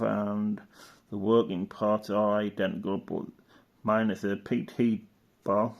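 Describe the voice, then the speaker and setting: A man's voice: on the low side, darkish tone. A man giving casual, rambling show-and-tell commentary as a hobbyist, matter-of-fact and fond of tag questions. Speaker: hobbyist presenter.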